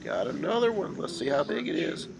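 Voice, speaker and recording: A man in his thirties with a hoarse, gravelly voice explains his fishing in a slow, steady way. He's out on a creek in a kayak, recorded by a camera mounted behind him. The audio is noisy, with water and insects clearly heard around him. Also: a little high. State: hoarse from a cold. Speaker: kayak angler. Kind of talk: casual fishing narration. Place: kayak on a wooded creek outdoors.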